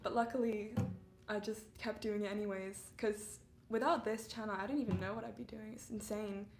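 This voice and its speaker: A woman's voice: medium pitch, steady tone, normal speed, roomy sound. A young woman from England with an English and Canadian mixed accent, chatting casually and giggling on a music livestream, her voice a little under the weather. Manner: chatty, giggly, lots of fillers. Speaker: livestreaming singer-songwriter.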